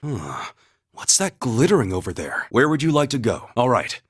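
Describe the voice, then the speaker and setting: An actor playing a calm, earnest young warrior in a video game, humble and loyal, with a measured, formal way of speaking. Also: male voice, mid-range voice, slightly rough. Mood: easygoing. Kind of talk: scripted video game voice acting, battle cries and story dialogue.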